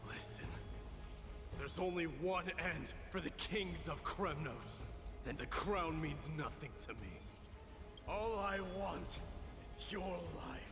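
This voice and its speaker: An actor playing a proud, defiant young warrior prince out for vengeance, in a theatrical, emphatic video game style. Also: male voice, fairly high pitch, expressive, slow pace. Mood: cold vengeance. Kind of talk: dramatic video game acting. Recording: long reverb under game music.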